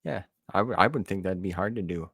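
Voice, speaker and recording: Middle-aged American male host with a deep voice, plain-spoken and conversational, recorded very dry. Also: brisk, vocal fry, a bit bright, slightly rough, no echo. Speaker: podcast host. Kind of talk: casual chat.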